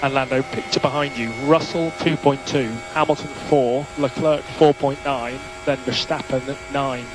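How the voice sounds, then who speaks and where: A man working as a race engineer, giving measured, clipped instructions over team radio and repeating key calls. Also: mid-range voice, fairly even intonation. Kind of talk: instructional radio messages to a racing driver. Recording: noisy motor racing team radio.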